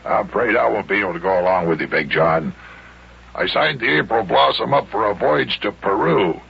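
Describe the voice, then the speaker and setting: An actor voicing a gruff old sea captain in an old-time radio serial, raspy, with salty, drawn-out sailor talk. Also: male voice, lowish voice, exaggerated voice. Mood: hearty. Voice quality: gravelly, raspy. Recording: muffled old radio broadcast.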